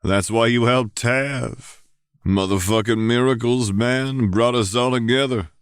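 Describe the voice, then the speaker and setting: A man's voice: medium pitch, fairly even intonation, unhurried, clean tone, no room sound, clean studio sound, lightly acted. A man playing a laid-back, affectionate young stoner in an audio drama, with a lazy, slangy drawl. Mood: teasing, warm. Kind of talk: audio drama acting of fan fiction.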